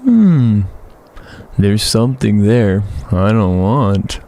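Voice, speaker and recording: An actor voicing a polite, hungry troll with a gravelly voice and a courteous, measured manner in an audio drama. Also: medium pitch, very animated, mellow, heavily acted. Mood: polite, mild. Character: polite, soft-spoken, slow hungry troll. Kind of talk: fan audio drama acting.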